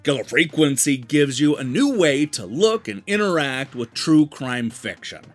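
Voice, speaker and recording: A man delivering a scripted video game review as the show's host, with emphatic, deliberate phrasing. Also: mid-range voice, sing-song. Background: background music.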